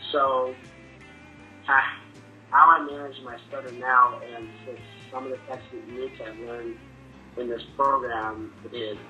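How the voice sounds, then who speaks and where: Stuttering young man, very bright voice. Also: medium pitch, little pitch movement, measured pace, highs cut off, faint music behind. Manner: stutters, with repeated sounds and restarts. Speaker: person sharing his experience. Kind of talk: personal testimony to camera.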